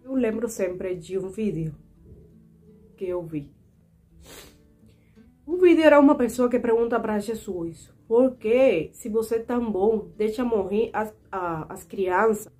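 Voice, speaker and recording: A young Cuban woman with a Spanish accent tells her viewers her stories in a heartfelt, indignant way. Her voice sits at a middle pitch and keeps coming back to her audience. Faint guitar music plays quietly under her vlog. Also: animated, a little slow. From Cuba. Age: 20s. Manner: earnest, indignant, repeatedly addressing viewers as "gente". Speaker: vlogger. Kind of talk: personal storytelling to camera.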